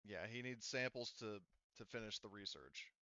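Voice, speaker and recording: Low, bright-voiced man running a game. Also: clear, resonant tone, dry recording, lo-fi sound. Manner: halting, explanatory, circling back to clarify. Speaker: game master. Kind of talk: improvised tabletop game narration.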